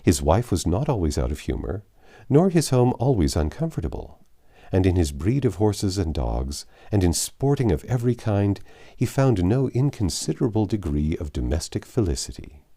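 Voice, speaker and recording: Deep, mellow male storybook narrator. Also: big pitch swings, moderate pace, creaky. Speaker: audiobook narrator. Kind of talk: scripted literary narration, reading a classic novel aloud.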